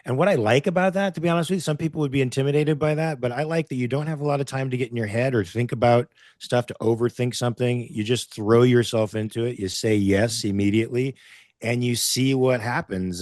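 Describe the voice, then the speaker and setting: A man's voice: mid-range voice, fairly even intonation, brisk, a little mellow, no echo. Joking male actor, dry close mic. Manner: expansive, joking, restarts. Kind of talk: casual interview chat.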